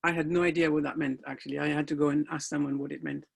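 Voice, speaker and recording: A man's voice: on the high side, level tone, rapid-fire, vocal fry, slightly rough. A middle-aged man, a life coach whose first language is not English, telling his personal story in an earnest, motivational talk with emphatic rises.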